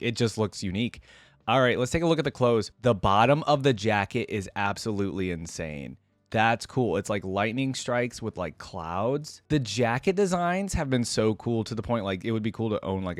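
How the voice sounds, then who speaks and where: A man's voice: mid-range voice, dry recording. A man giving casual, enthusiastic reaction commentary as a video host, thinking aloud in an informal way.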